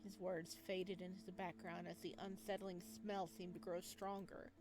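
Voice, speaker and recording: A woman playing a visual novel, reading its text aloud and breaking in with excited reactions. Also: on the low side, moderate pace, music in the background. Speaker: game streamer.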